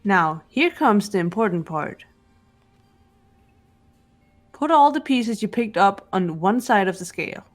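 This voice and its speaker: A woman playing a cool, analytical teenage girl detective in a game voice-over, speaking in a measured, serious way. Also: medium pitch, animated. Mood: businesslike. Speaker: amateur voice actor. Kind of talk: fan voice-over of a visual novel game. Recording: game music under the voice.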